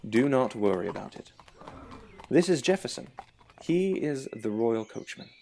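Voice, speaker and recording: A man's voice: medium pitch, sing-song, unhurried, gravelly, mellow, slight background noise. A man playing a polite, earnest captain of the royal guard in an amateur fairytale audio drama. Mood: gracious. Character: courteous, eager young royal guard captain.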